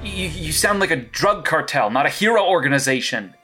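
A man's voice: on the high side, expressive, brisk, pushed and bright, soft background music. An actor playing an indignant male vigilante hero who talks in a challenging, incredulous way.

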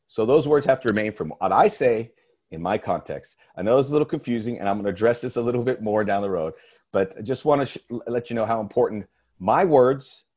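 A man's voice: on the low side, darkish tone. A man hosting a sports podcast, talking in an earnest, emphatic way and often restarting his phrases. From United States. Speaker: podcast host. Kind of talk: casual podcast talk.

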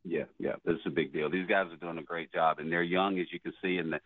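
A man representing a sports team, giving steady news about upcoming games. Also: low-pitched, quick pace, no echo, phone-quality sound. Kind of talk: casual radio chat.

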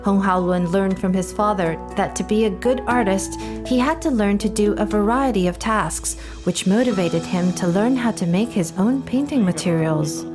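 A woman narrating a news feature in a measured, scripted reading voice. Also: mid-range voice. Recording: narration over loud music.

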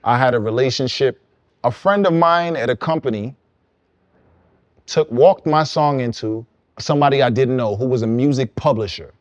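A young man from Brooklyn, a songwriter guest, explaining his path in relaxed, reflective casual conversation. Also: medium pitch, normal speed, no echo. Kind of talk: casual podcast chat.

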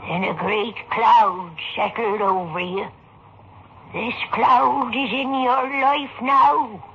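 An old fortune teller speaks very slowly in a fairly high voice. She is mystical, halting and dramatic, heard on a muffled old radio-play recording. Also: slight background noise. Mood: growing dread. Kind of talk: 1960s radio drama acting.

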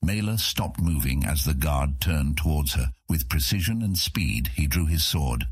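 A man's voice: extremely low pitch, animated, measured pace, slightly rough, no echo. A man narrating a scripted fantasy audiobook as its storyteller.

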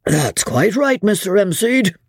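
A man's voice: high-pitched, very animated, measured pace, gravelly, husky, no echo, studio quality, voice pushed up, exaggerated voice. A man voicing Mister Jellyband, a hearty, opinionated innkeeper. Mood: blustering. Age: 60s. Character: bluff, worthy old innkeeper, stern father.

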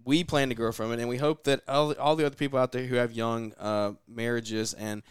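Dude in his twenties, podcast host, rambly with lots of ums, smooth clear voice, dry close mic. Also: medium pitch, fairly even intonation, brisk, dry recording. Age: 20s. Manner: rambling, filler-heavy, folksy. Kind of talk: casual podcast chat.

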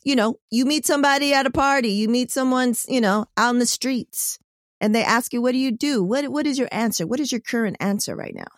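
A woman hosting a podcast interview, enthusiastic and quick to laugh and cheer her guest on. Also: on the high side, no echo, studio quality. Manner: enthusiastic, laughs a lot, warm reactions. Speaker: podcast host. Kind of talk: casual interview chat.

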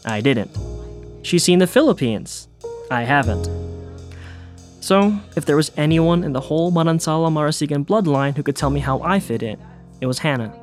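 Male narrator, wry scripted delivery, slightly high voice, soft music underneath. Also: lively intonation, normal speed, pauses often. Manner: wry, self-deprecating. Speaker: host and narrator.

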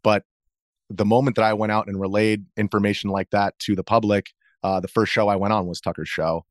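An American man speaking as an interview guest, explaining things in a measured, conversational way. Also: on the low side, level tone, no echo, clean studio sound. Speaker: interview guest, video journalist.

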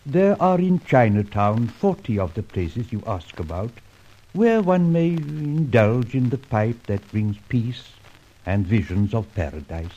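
A man acting a courteous, patient older Chinese gentleman in an old radio drama, with formal, deliberate and ornate phrasing. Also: mid-range voice, big pitch swings. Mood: courteous, measured. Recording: muffled old radio recording.

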